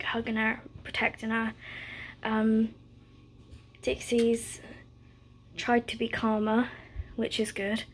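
A woman's voice: a little high, a little hiss or hum. Stressed, near-tearful British woman, chatty. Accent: British English. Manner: rambling, chatty, with many fillers and run-on stories. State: stressed and close to tears. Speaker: vlogger. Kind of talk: casual chat to camera. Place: at home, indoors.

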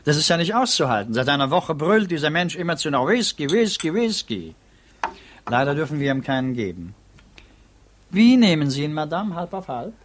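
A courteous, urbane state prosecutor. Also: male voice, on the high side, big pitch swings, normal speed, slight background noise. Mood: exasperated. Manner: polite, formal, explanatory. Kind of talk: 1950s German radio play acting.

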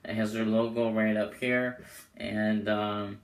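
A young man with a hoarse voice giving a casual, rambling product review to camera as the host. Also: lowish voice, little pitch movement, takes it slowly. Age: young adult. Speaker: host of playing card deck reviews. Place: small bedroom, indoors. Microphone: camera mic a short way off. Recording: roomy echo, clear background noise.

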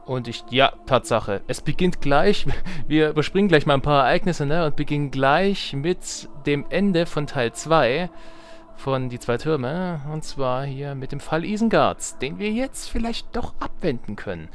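A man's voice: fairly high pitch, lively intonation, unhurried. Chatty young man commenting on a game. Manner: chatty, thinking aloud. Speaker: let's player. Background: game music.

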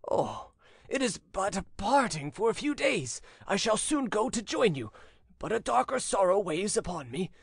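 A man's voice: high voice, creaky, husky, no echo, studio quality, pitched above the performer's own voice, full character voice. A man voicing an anguished, tender old fisherman in his eighties in a dramatic audiobook, pleading and mournful. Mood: sorrowful. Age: 80s. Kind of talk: dramatic audiobook character reading.

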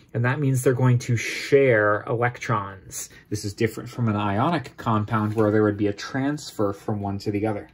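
A man's voice: medium pitch, breathy edge. A man teaching chemistry, explaining step by step in an informal tutorial style.

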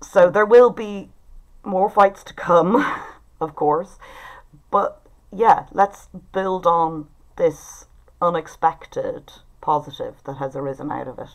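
A woman's voice: on the low side, takes it slowly. A woman with an Irish accent, informally hosting an online group discussion over a video call. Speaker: writers' group facilitator and event host. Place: indoors at home.

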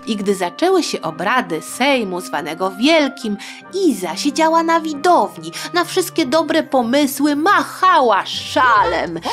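A woman hosting a history podcast, telling stories in a playful, colloquial, expressive way for young listeners. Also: medium pitch.

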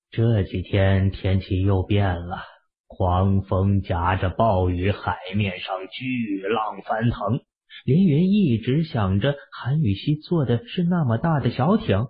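A man with a raspy voice narrating an audio drama in a steady storytelling manner. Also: fairly low pitch, phone-quality sound.

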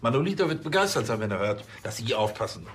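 An actor playing a flustered, self-important middle-aged police chief who stammers fussily in a TV crime comedy. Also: male voice, fairly low pitch, very animated, fast. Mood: hesitant. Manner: stammering, fussy, pompous.

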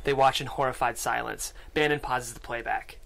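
A man's voice: medium pitch, fairly even intonation, moderate pace, vocal fry, a bit bright. A man reading screenplay stage directions aloud as a narrator, close to a studio microphone. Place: small podcast studio. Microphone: studio microphone on desk.

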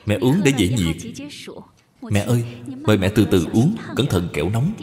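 Cartoon role: dutiful young daughter-in-law male performer, tender and deferential, lively intonation, echoing hall sound. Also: lowish voice, moderate pace, over music. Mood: caring. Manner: respectful, caring. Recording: long hall reverb.

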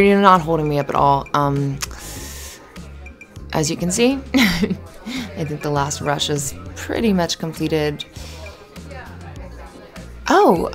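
A woman playing a flustered, friendly young café barista in an ASMR roleplay, chatty and halting in a natural conversational way. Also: lowish voice. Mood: flustered, tired, then friendly, scatterbrained. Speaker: voice actor in audio roleplay.

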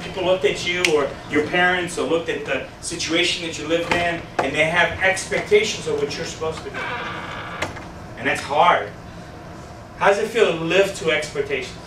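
Man, youth pastor, stuffy nose, high voice, chatty questions to the room, distant camera, echoey hall. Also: moderate pace, background noise. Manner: conversational, asks the audience questions, gestures widely. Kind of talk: casual youth sermon. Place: small meeting room with a youth group. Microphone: far camera, no mic.

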